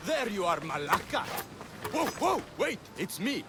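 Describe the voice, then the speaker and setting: A proud, pompous man of ancient Greece, theatrical about his honour in a game scene. His voice is fairly high and swoops around. Clear outdoor noise surrounds him. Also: big pitch swings, a little slow, heavily acted. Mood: indignant. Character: proud, melodramatic, honour-obsessed Greek family man. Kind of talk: video game cutscene acting.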